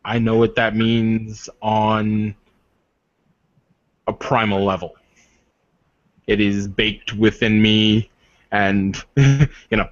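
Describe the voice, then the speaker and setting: A man playing a proud, commanding werewolf warrior leader in a tabletop game, speaking earnestly and formally. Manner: solemn, deliberate, formal phrasing. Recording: dull, roomy low-fidelity voice recording.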